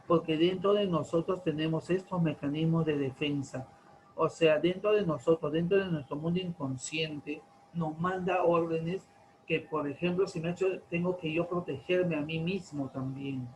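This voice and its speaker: A man, lecturing teenagers in class, slow and deliberate, stretching key terms and asking many rhetorical questions. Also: a little high, a little mellow, a little hiss or hum, poor audio. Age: 40s. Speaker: teacher.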